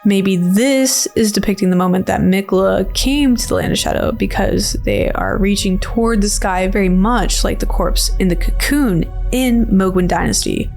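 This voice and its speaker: A woman's voice: medium pitch, creaky, darkish tone. Casual, playful woman over background music. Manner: informal, playful, slangy filler-heavy speech. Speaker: video creator and host. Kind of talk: casual rambling video game trailer commentary.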